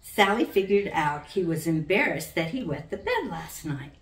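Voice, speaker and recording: An older woman, around her sixties, reading a children's book aloud as its author with deliberate, dramatic storytelling. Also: on the low side, sing-song. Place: living room at home, indoors. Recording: roomy, distant from the mic.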